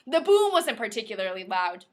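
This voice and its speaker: A woman's voice: medium pitch, big pitch swings, normal speed. A woman podcast host reading a novel aloud expressively, breaking into playful, casual asides.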